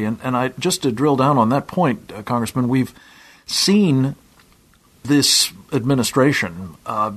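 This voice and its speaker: A male radio talk show host with a husky edge to his voice. He asks long, carefully built questions. He pauses and hesitates as he works toward his point. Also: medium pitch, fairly bright tone. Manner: deliberate, drawn-out questions with hesitations.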